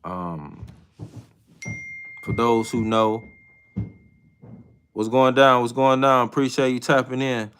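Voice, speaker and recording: A man in his thirties hosting a casual live chat with viewers, talking in a hesitant, reflective way with many pauses and restarts. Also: mid-range voice, steady tone, fairly bright tone, slight background noise. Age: 30s. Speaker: livestream host.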